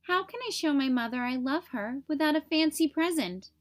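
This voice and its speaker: A woman's voice: high-pitched, lively intonation, moderate pace. A woman voicing an earnest, questioning little boy turtle from a children's picture book. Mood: earnest, puzzled.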